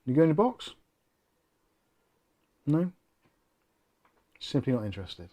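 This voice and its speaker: Mellow-voiced British man chatting. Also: on the high side, big pitch swings, slow pace. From United Kingdom. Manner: rambling, jokey, self-deprecating. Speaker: host of a tech channel livestream. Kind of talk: casual livestream chat, reading viewer comments.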